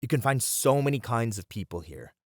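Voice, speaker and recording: A man's voice: medium pitch, animated, a little fast, dry recording, studio quality, lightly acted. A man playing a friendly young bunny boy, a chatty and welcoming manga cafe regular, in a casual ASMR roleplay. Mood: fond, nostalgic. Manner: chatty, easygoing, welcoming, with casual slangy hesitations.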